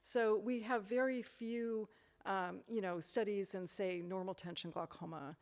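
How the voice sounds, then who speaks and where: A woman's voice: medium pitch, steady tone, unhurried, darkish tone. A woman lecturer in a hall, answering audience questions thoughtfully with lots of "you know" fillers and restarts. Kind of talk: conference Q&A answers.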